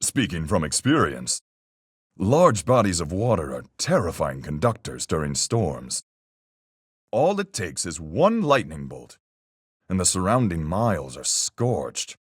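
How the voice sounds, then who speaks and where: A man voicing a proud, blunt seafaring character in a video game, with gruff, hearty sailor talk. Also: deep voice, huge pitch swings, measured pace, no echo, studio quality, heavily acted. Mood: confident, explanatory. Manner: hearty sailor talk with aye, lass, mate. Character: boastful, proud seafaring man, blunt but honest, in murder trial.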